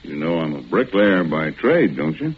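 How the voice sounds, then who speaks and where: An actor playing a gruff, guarded bricklayer in-law in an old radio drama, terse and needling. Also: male voice, low voice, big pitch swings, normal speed, full character voice. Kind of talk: 1940s radio acting. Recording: tinny, noisy vintage radio.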